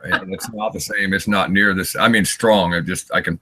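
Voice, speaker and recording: A raspy-voiced man of fifty, a singer rambling candidly in a live interview and laughing often. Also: low-pitched, steady tone, normal speed, bright, forceful tone. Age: 50s.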